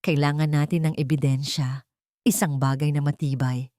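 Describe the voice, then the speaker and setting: A woman voicing a calm, doubtful young male journalist in an audio love story. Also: sits low, dry recording, clean studio sound, pitched below the performer's own voice, close to an everyday voice. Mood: gentle, firm. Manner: calm, gentle, measured.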